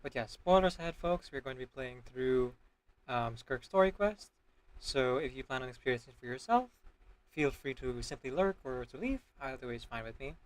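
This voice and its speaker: Male livestreamer with lively, swooping intonation. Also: medium pitch, moderate pace, rough recording. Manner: polite, welcoming to viewers. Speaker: live streamer. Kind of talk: casual livestream chat.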